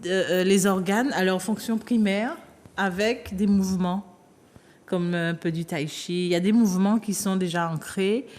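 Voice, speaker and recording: A woman therapist, a guest on a talk show, explaining her work calmly with some hesitant fillers. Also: medium pitch, normal speed. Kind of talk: interview answers.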